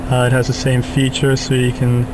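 A young man demonstrating a lighting system in a flat, monotone way, with frequent filler sounds, recorded on a camera mic in a parking garage. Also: mid-range voice, a little fast, background noise. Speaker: product demonstrator. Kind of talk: casual product demo narration.

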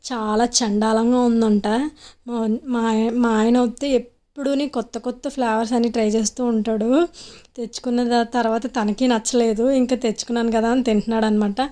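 Young woman vlogger, casual narration packed with fillers; fairly high, even-pitched delivery. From Andhra Pradesh, India. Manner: chatty, filler-heavy storytelling. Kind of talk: casual voice-over vlog narration.

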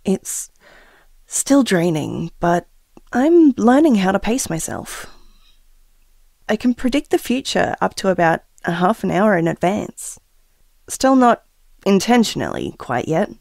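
Audio drama diarist: earnest mother-to-be, mid-pitched, reflective, hesitant, very dry close sound. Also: very animated, unhurried, gravelly, long pauses, a little mellow, no room sound, full character voice. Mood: earnest, quietly hopeful. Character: earnest expectant mother confiding secret powers. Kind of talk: audio drama, confiding taped diary monologue.

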